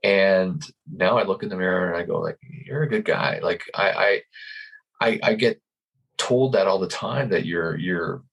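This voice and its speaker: Deep husky-voiced calm man. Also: rough recording. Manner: calm, earnest, speaks slowly in thought. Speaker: guest, life coach. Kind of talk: reflective interview answers.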